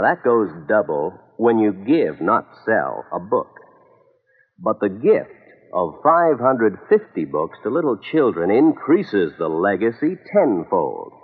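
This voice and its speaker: An American man reading a scripted 1960s public service radio piece in an earnest, measured announcer style. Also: fairly low pitch. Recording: muffled reverberant old radio recording.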